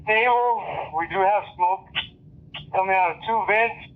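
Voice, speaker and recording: A man's voice: high voice, unhurried. A man working as a firefighter, reporting to command over a two-way radio in clipped procedural phrases. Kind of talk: fire radio traffic. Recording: narrow tinny fireground radio transmission.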